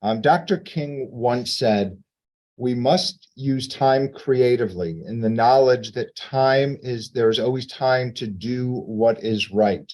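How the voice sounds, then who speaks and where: Man, moderator, video call, measured, welcoming, mid pitch. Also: darkish tone. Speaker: moderator, organization president. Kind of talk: moderating a virtual panel.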